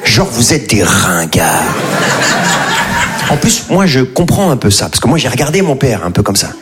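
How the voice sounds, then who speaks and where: A man's voice: medium pitch, sing-song, normal speed, heavy background noise. A man in his forties doing stand-up, self-mocking and chatty, on stage at a live show. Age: 40s. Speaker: stand-up comedian. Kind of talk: stand-up routine. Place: comedy stage before audience. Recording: live show with loud crowd.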